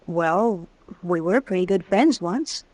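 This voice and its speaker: A woman's voice: on the low side, lively intonation, slow pace, a little mellow, no room sound, rough recording. Tough frontier drifter woman, guarded, terse, dry close sound, vintage radio drama. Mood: wary, wistful. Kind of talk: old-time radio western acting.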